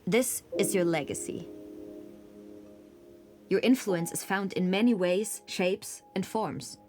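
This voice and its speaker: A woman's voice: medium pitch, takes it slowly, over music. A woman presenting a documentary in reflective scripted narration, written like a personal letter.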